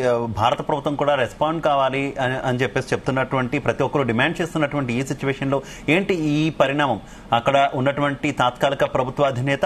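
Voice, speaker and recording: A man's voice: mid-range voice, slight background noise. A man anchoring live news and putting long, rolling questions to a correspondent.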